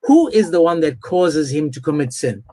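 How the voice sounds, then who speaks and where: A man's voice: fairly high pitch, sing-song, moderate pace, mellow. A man hosting a live online religious debate, speaking in an insistent, confrontational and emphatic way. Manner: insistent, confrontational, repeats pointed questions. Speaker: debate host.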